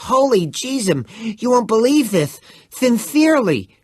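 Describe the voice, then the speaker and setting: A man voicing an excitable, breathless teenage boy in an audiobook reading. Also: very high voice, a little slow, slightly rough, no room sound, clean studio sound, voice pushed up, heavily acted. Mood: excited.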